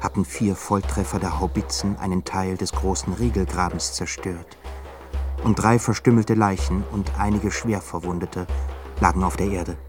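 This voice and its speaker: A man with a very deep voice narrates a radio drama in a measured, grave tone. Music plays clearly beneath his words throughout. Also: fairly even intonation. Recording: music bed under voice.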